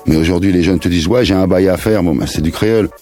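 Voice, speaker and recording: A man's voice: sits low, fast, loud music behind the voice. A man in his fifties from the Paris suburbs, a musician chatting in a rambling, slangy way with bits of Creole. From France, Paris suburbs. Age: 50s. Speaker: musician guest. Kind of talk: casual interview chat.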